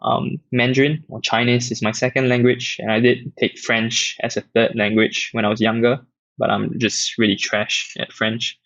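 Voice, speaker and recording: A young man with a Singaporean accent, hosting a casual late-night Q&A, reading questions aloud and answering in a relaxed, rambling way with plenty of fillers. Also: mid-range voice, moderate pace, creaky, no room sound. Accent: Singaporean English. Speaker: app community host answering questions. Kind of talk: casual livestream Q&A. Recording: dull lo-fi dry audio.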